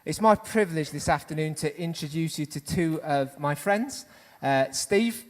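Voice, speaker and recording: A man's voice: fairly high pitch, normal speed, long reverb tail. A man hosting a panel at a public event, introducing and questioning his guests in a friendly, hesitant way with many fillers. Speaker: event organiser and panel host.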